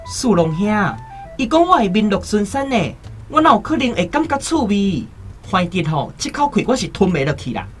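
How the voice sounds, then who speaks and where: A woman's voice: mid-range voice, big pitch swings, moderate pace, faint music behind, natural voice. A woman in a radio drama playing a proud, hot-headed young male scholar, indignant and challenging. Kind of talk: Taiwanese radio drama acting.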